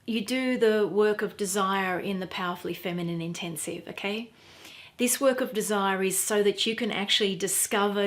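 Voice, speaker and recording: A middle-aged woman with a quiet, soft voice, talking earnestly to camera as a teacher, wearing a clip-on mic. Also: mid-range voice. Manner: earnest, emphatic, repeats for stress. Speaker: feminine empowerment teacher. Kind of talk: direct-to-camera talk.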